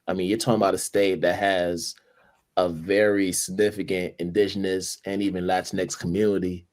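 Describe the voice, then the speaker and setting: Deep-voiced male podcast host. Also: normal speed. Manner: upbeat, welcoming, steady. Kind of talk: conversational interview.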